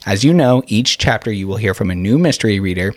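A man's voice: on the low side, animated, fast, no room sound. A man hosting a school podcast, reading scripted introductions and announcements.

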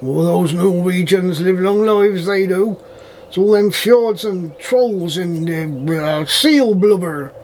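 A man's voice: high-pitched, slow, darkish tone, slight background noise. A man playing a suspicious, chatty, old-fashioned farm manager with a rural English accent and folksy dialect in an audio drama. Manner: folksy dialect, addresses others as young lad.

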